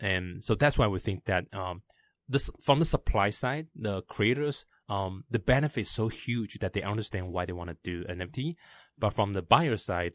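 A man in his thirties from Taiwan with a Taiwanese accent, answering interview questions haltingly with many fillers and restarts. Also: low voice, dry recording. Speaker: startup founder. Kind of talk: podcast interview.

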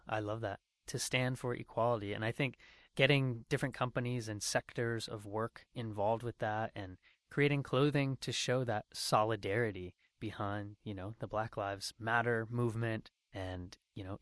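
A man's voice: mid-range voice, dry recording, clean studio sound. A man interviewing a guest, affirming her answers and leading into questions with frequent fillers. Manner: affirming, with frequent "you know" and "I love that". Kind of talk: interview chat.

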